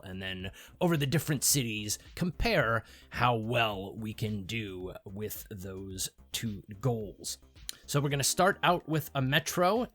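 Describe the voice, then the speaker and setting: A man hosting a city-building game series, giving chatty, explanatory gameplay commentary with frequent fillers and lively jumps in pitch on emphasis.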